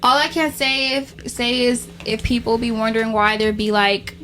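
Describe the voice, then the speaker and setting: A woman's voice: a little high, level tone, moderate pace, a bit bright, faint music behind. A woman talking as a guest on a podcast, loose and blunt, swearing freely. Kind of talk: casual podcast talk.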